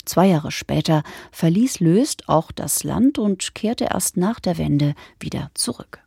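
A woman presenting on radio, reading a scripted introduction in a measured, factual way in a studio. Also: deep voice, animated, gravelly, warm tone, slightly rough, dry recording. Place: dry broadcast studio.

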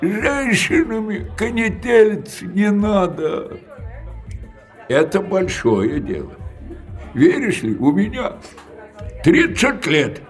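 A man's voice: high voice, very animated, slow, pauses often, soft background music, a faint crowd, exaggerated voice. An actor playing a talkative, grumbling old working-class man in an audio drama, rambling colloquially. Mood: rueful, amused.

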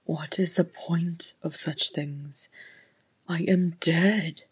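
A woman narrator voicing a weary, stubborn male dwarf king, sullen and croaky, in a storybook. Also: fairly high pitch, steady tone, takes it slowly, full character voice. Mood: gloomy, sullen. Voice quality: croaky, mumbling.

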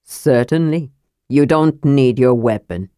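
Woman as a deep-voiced, mild ancient male dwarf. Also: slow, dark tone, no echo, studio quality. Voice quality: strong, deep, youthful.

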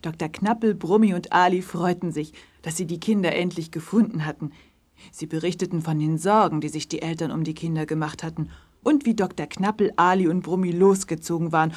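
Rauchige, eher tiefe Erzählerin. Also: female voice, fairly low pitch, darkish tone. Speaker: narrator. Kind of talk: scripted children's story narration.

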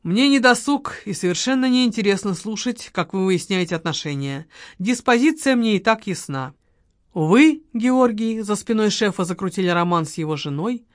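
Woman voicing a brisk ironic detective man. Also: mid-range voice, expressive, no room sound, clean studio sound, close to an everyday voice. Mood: impatient.

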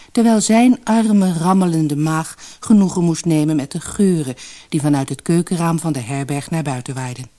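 Husky storyteller reading a fairy tale audiobook, really lively voice that goes up and down, leans into words. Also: medium pitch. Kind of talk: scripted fairy-tale narration.

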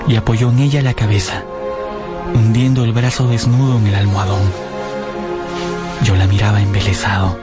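A man's voice: mid-range voice, natural voice. An actor playing a lovestruck young man who recalls his first love in an earnest, literary radio drama narration.

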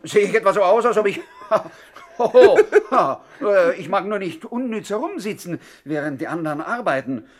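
Ein Schauspieler spielt einen ängstlichen, abergläubischen kleinen Gangster in einer Krimikomödie im Radio, nervös, zögernd und unterwürfig. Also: male voice, high-pitched, expressive, moderate pace. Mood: flustered. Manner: nervous, hesitant, obsequious, whispering at times. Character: timid, fearful little male gangster, anxious and superstitious. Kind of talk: 1960s German radio crime comedy acting. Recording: faintly noisy 1960s radio recording.

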